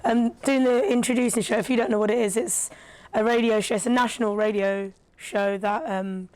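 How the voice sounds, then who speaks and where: A woman, a rapper and radio presenter, talking casually as a guest with a slightly raspy, tired voice and lots of fillers. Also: on the high side, fairly even intonation, brisk, a little mellow, dry recording. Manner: chatty, thoughtful, with frequent fillers. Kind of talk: casual podcast conversation.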